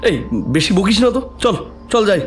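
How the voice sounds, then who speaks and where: A man's voice: sits high, sing-song, unhurried, soft background music. A man voicing a timid, nervous young man who stammers in an audio drama. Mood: irritated. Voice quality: stammering, shaky.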